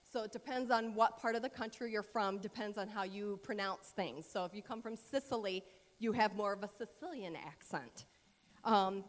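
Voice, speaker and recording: An American woman giving an informal educational talk at a church service, chatty and rambling with many fillers and asides. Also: fairly high pitch. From United States. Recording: long reverb of a large hall.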